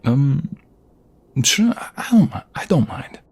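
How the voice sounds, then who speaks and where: A man playing a protective, affectionate mobster fiancé in an intimate ASMR roleplay, with a husky, breathy voice that often drops toward a whisper. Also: mid-range voice, sing-song, a little mellow, full character voice. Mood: playful, helpful. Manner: affectionate, teasing, slow and murmuring. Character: protective, tender mobster fiancé soothing his beloved.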